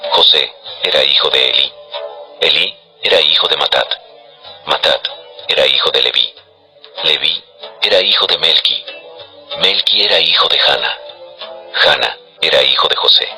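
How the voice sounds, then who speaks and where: A man narrating scripture as a scripted dramatization, in measured, declarative storytelling. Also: extremely low pitch, pushed and bright, soft background music.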